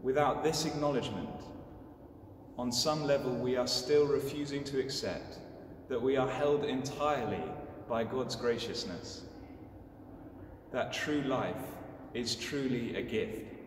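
A young man delivering a scripted homily in a measured, solemn manner. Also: medium pitch. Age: young adult. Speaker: clergyman giving a homily. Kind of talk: scripted sermon. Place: inside a large stone church. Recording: distant, echoing church, clearly noisy.

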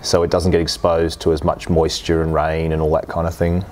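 A man's voice: very deep voice, completely flat delivery, a little fast, darkish tone, slight background noise. A man in his forties giving a how-to demonstration in a plain, practical, step-by-step way. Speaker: host of boat repair channel. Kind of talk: how-to demonstration, explaining steps. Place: workshop garage, indoors.

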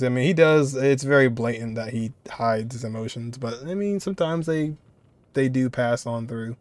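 A man in his twenties speaks as a reaction video host, recorded dry and close. His commentary is casual and conversational, in a middle-pitched voice. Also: no room sound. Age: 20s.

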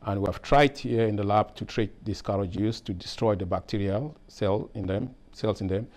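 A man's voice: on the low side, moderate pace, a little mellow. A middle-aged man from Cameroon, a process engineer, talking in an interview in a lab with a lapel mic, hesitant with many fillers and restarts. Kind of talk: workplace interview. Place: lab, indoors.

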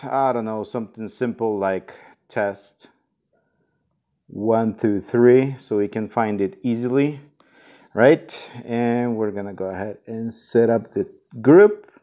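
A man's voice: on the low side, darkish tone. A man hosting a casual instructional walkthrough, explaining each step informally. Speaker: product tutorial host.

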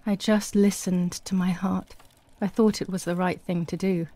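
Haunted, low-voiced young woman, played by an actress. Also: level tone, dark tone, dry recording, close to an everyday voice. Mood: guilty, regretful. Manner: somber, measured, confessional. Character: traumatized young woman recounting war and asylum, haunted, numb. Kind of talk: scripted dramatic game narration.

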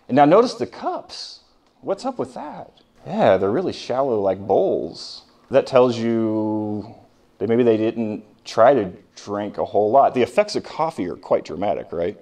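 An American man with a fairly low voice lectures like a history professor talking to his class. His delivery is informal and conversational, and he keeps tossing questions out to his listeners as he explains. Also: darkish tone.

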